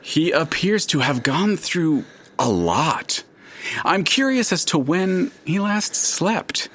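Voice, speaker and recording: A man playing a chatty, curious, all-knowing narrator in an audio drama, with a dramatic and deliberate storytelling manner. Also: a little high, big pitch swings, a bit bright, heavily acted. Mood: theatrical, wondering. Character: chatty omniscient male narrator, theatrical and curious.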